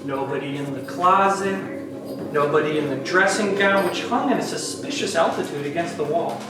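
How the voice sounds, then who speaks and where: A woman's voice: low-pitched, moderate pace, background noise. Theatrical woman narrator in an echoey room. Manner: theatrical, wry asides. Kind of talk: comic dramatic reading of a story. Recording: echoey room, distant mic, music behind.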